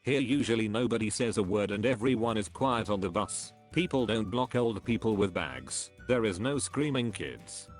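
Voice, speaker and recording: Male, low-pitched, text-to-speech narrator, evenly phrased scripted read-aloud, light music underneath. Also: expressive, normal speed, hardly any pauses. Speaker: narrator reading internet comments.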